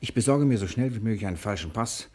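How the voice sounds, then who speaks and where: Husky, low-voiced charming rogue. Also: male voice, lively intonation, fast, darkish tone. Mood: confident, hurried. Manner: soothing, persuasive, assured. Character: charming fugitive husband, confident, optimistic, quick-witted rogue. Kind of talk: 1960 German radio drama acting.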